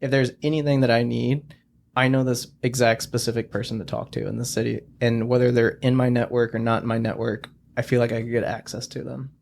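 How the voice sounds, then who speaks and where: A young man guesting on a podcast, telling stories in a relaxed, rambling way and saying "like" a lot. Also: medium pitch, fairly quick, slight background noise. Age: young adult. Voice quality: gravelly, heavy use of "like". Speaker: podcast guest, studio founder. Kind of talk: casual conversation.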